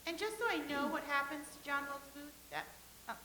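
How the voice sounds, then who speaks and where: A woman's voice: high voice, brisk, pushed and bright, echoey room, slight background noise. A woman playing a nervous, stammering actress. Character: anxious stage actress awaiting a late colleague. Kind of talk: staged reading.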